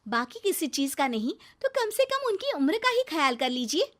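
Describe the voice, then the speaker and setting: Woman acting young bride, cartoon moral tale, high voice, lively intonation, outspoken, questioning. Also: moderate pace. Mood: indignant. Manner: exclaiming, questioning, emphatic. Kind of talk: animated moral story dialogue.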